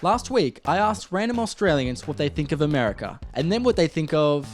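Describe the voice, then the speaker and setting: A man's voice: fairly high pitch, very animated, fairly quick, faint music behind. A man presenting a street interview segment to camera as the interviewer.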